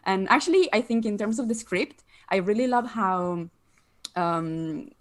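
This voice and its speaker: A woman's voice: mid-range voice, animated, measured pace. A woman with a non-American accent chatting casually as a guest, hesitantly searching for words. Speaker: guest, amateur cast reader. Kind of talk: casual chat.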